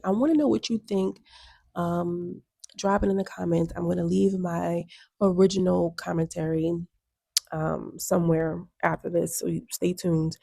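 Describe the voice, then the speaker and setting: A woman's voice: fairly low pitch, gravelly, dark tone, slightly rough. A woman in her thirties giving blunt, sassy music commentary for her video channel, with lots of ums and asides. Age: 30s. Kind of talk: casual opinion commentary.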